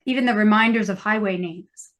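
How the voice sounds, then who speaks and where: A woman's voice: medium pitch, fairly quick, fairly bright tone. An American woman, a novelist, talking casually as a guest on a podcast. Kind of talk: casual interview chat.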